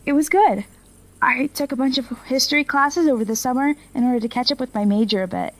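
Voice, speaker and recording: A woman's voice: high voice, brisk, lightly acted. A young woman playing an apologetic, eager college student in an audio drama, speaking with a hesitant, friendly manner. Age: young adult. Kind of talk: naturalistic audio drama acting.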